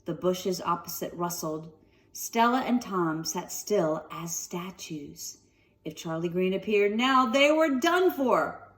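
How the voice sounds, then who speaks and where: A woman reading a children's story aloud at home with expressive narration and casual asides. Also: fairly low pitch, slow pace, far from the mic. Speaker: storybook reader. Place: sunroom at home.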